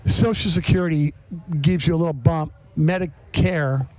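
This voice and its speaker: A man's voice: on the high side, normal speed, a little mellow, low-quality recording. A man hosting a casual podcast interview, chatty and rambling, often repeating words as he builds up to a point. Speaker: podcast host.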